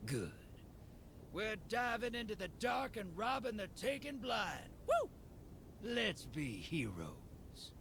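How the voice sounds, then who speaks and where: A wry drawling rogue. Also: male voice, high voice, huge pitch swings, takes it slowly, vocal fry, fairly bright tone, heavily acted. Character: wry roguish male drifter. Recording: noisy game audio.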